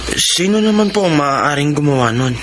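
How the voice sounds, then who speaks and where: A young Filipino son in a radio serial, troubled but respectful. His voice is fairly high and his manner hesitant, with clear background noise. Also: animated, normal speed, a bit bright. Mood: worried, bitter. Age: young adult. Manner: hesitant, polite. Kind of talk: Filipino radio drama acting. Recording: noisy radio drama track with ambience.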